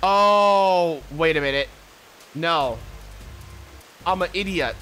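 A man's voice: fairly high pitch, takes it slowly, sharp tone, faint music behind. A man streaming a puzzle adventure game, casually commenting, reading text aloud and muttering to himself.

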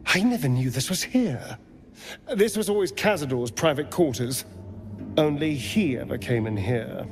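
A man's voice: a little high, expressive, normal speed, a little hiss or hum. A man voicing a haughty, theatrical vampire rogue in a video game, with arch, precise, dramatic delivery. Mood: wary awe.

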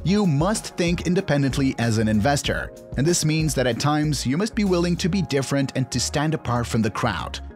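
A man reading a scripted explainer narration in a measured, instructional manner. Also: a little high, lively intonation, a little mellow. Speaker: video narrator. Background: background music.

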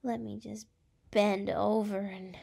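Woman acting a slow, breathy, brash young gal. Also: medium pitch, flat delivery, warm tone, full character voice. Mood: scheming, teasing. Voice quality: breathy, often dropping into whispers. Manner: halting, teasing, exasperated. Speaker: voice actress. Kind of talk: ASMR roleplay.

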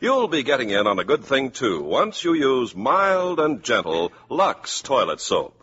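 A male announcer on a 1950s radio show reads scripted banter and sales copy. His voice is bright and very lively. The old recording is dull with faint noise. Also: medium pitch, measured pace.

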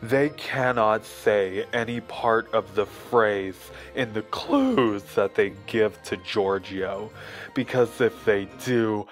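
A man's voice: on the low side, slow, faint music behind. A man narrating as a mock game show host, laying out the rules in an announcer manner. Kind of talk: scripted narration.

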